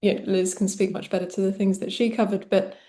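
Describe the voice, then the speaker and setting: A woman presenter answering an audience question on a video call, talking quickly with "like" and "um" fillers. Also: medium pitch, little pitch movement, dark tone. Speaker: panel presenter.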